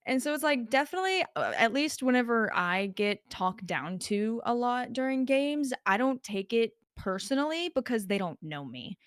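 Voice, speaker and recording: A woman's voice: on the high side, sing-song, normal speed, hardly any pauses, fairly bright tone, smooth, dry recording, studio quality. A young woman as a podcast guest, talking in a chatty way with lots of filler words. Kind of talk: casual podcast conversation.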